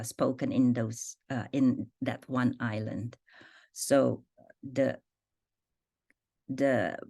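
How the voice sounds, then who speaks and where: A woman with an Indonesian accent teaches a spoken language lesson in a low voice. She talks slowly and deliberately, repeating phrases and their meanings. The sound is dry and close, with no room around it. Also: darkish tone, dry recording. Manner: explains slowly, repeats phrases and their translations, many fillers.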